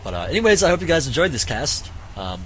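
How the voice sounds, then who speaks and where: Man, hoarse voice, halting casual game commentary, noisy background. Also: fairly high pitch, sing-song, a bit bright. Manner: halting, many fillers and restarts. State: voice getting hoarse. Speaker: game caster.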